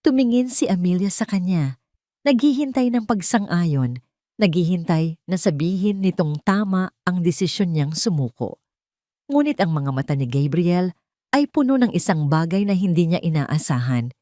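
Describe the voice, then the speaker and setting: A woman tells a dramatic scripted story. Her voice sits on the low side with a dark, soft tone. The recording is dry and close. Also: animated, moderate pace, no echo. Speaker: story narrator.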